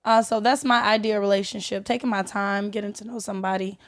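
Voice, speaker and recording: A young adult woman, a podcast guest talking in relaxed, chatty speech with fillers and the odd curse. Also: medium pitch, fast. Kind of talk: casual chat.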